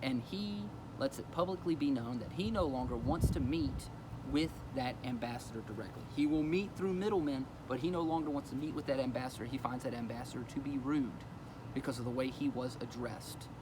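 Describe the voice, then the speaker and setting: A man's voice: mid-range voice, a little fast, background noise. A man explaining a history lesson in a casual, conversational way, with fillers as he goes. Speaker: teacher.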